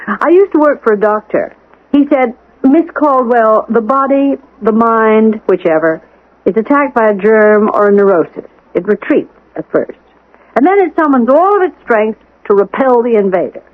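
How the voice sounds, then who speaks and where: Through a tinny old radio broadcast comes an actress as a brisk housekeeper who takes no nonsense. Her delivery is animated and widely inflected, yet firm and crisp, always admonishing in the manner of radio drama. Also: a little high, close to an everyday voice. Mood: instructive. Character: brisk, bossy, no-nonsense housekeeper to an anxious gentleman.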